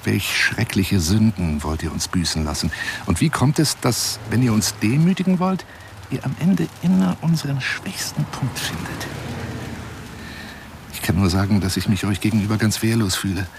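An actor playing an eccentric, lovelorn and wounded lion hunter in a radio drama monologue, speaking on the telephone in a theatrical, florid, self-pitying manner. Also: male voice, on the low side, moderate pace, vocal fry, slight background noise. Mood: plaintive, resigned.

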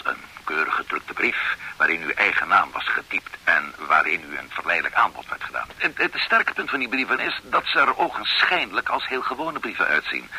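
A man's voice: sits low, pushed and bright, breathy edge, exaggerated voice, rough recording. An actor playing a genial, sly investigator who explains his schemes in a confiding, slightly theatrical way in a radio drama. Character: sly middle-aged private schemer, genial.

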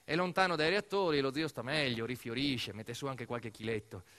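A man performing a narrative theatre monologue as a storyteller, emphatic and dramatic, swinging between hushed and raised delivery. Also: medium pitch, a little fast. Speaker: stage actor narrating a monologue.

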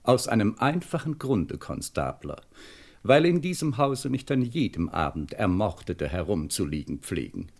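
An actor playing a haughty, pedantic middle-aged English butler in a German radio crime comedy, speaking formally and with dry sarcasm. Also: male voice, lowish voice, very animated, normal speed, gravelly, a little mellow, exaggerated voice. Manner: pompous, formal, dryly sarcastic. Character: haughty, pedantic English butler, easily frightened, about fifty.

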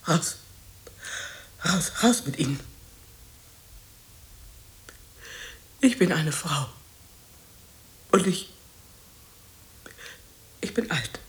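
An actress playing a bitter old woman in a radio drama, scolding and reproachful, halting and repeating herself. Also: low voice, lively intonation. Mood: bitter, dismissive. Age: older.

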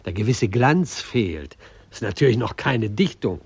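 An actor playing a smug, patronising older gentleman of the arts in a radio play, pompous and theatrical. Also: on the low side, very animated, normal speed, slightly rough, heavily acted. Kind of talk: post-war German radio play acting.

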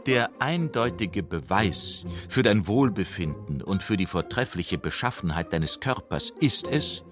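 Sharp mocking man telling off a hypochondriac, low voice, radio play. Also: animated, unhurried. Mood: scolding. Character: stern adult man scolding a hypochondriac. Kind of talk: classic stage comedy radio play. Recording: music behind voice.

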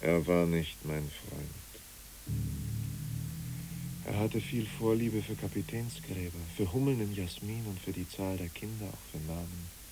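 An actor playing a brooding, grieving man in a poetic German radio drama, speaking in halting, musing phrases. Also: sits low, a little mellow, poor audio. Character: brooding, grieving male friend, haunted by memories. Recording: clear tape hiss.

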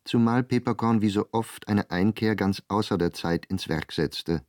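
An actor as the composed, gently ironic narrator of a radio play, reading literary prose. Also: male voice, lowish voice, normal speed, dry recording, clean studio sound, natural voice. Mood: measured, wry.

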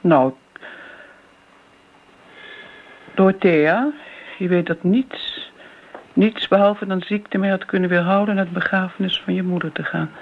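Creaky, fussy old lady. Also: lowish voice, moderate pace. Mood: defensive. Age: older. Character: elderly woman, fussy, wistful, self-justifying old family friend. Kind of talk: Dutch radio play acting. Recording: dull, band-limited old radio recording.

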